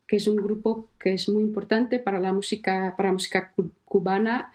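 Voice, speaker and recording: A woman's voice: medium pitch, darkish tone. Brazilian woman speaking careful Spanish. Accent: Brazilian Portuguese. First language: Portuguese. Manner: careful, halting second-language Spanish with restarts. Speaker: guest singer-songwriter. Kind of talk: casual interview talk.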